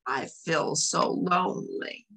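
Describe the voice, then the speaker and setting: A woman voicing a shy, lonely, polite big bear in a storybook, speaking in a hesitant, halting way. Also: fairly low pitch, vocal fry, bright, forceful tone, a little hiss or hum, full character voice.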